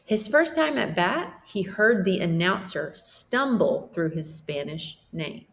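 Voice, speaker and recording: A woman teacher reading a storybook aloud to pupils, pausing to explain things simply for children. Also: fairly low pitch, big pitch swings, creaky. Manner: explains simply, checking in with listeners.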